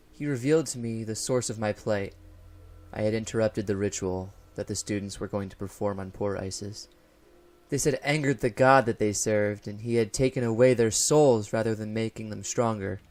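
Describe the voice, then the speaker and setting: A man playing a haunted college professor unraveling into paranoid dread, narrating a horror audio drama in a formal, deliberate, theatrical manner. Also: fairly low pitch, close to an everyday voice. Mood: grim dread. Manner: formal, deliberate, theatrical, swelling from hushed to frantic. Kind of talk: first-person gothic horror audio narration. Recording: music behind the voice.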